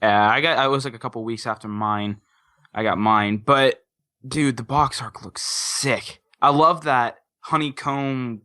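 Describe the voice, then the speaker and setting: A man with a bright, forward voice at a middling pitch talks casually as a gaming podcast panelist. His delivery is excitable and comes in bursts, stopping and starting as he goes. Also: measured pace.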